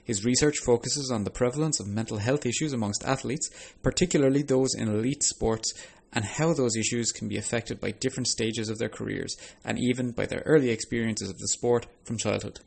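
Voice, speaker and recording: A male host delivers a scripted podcast introduction. His mid-pitched voice is husky, with plenty of gravelly creak. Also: a little fast, darkish tone.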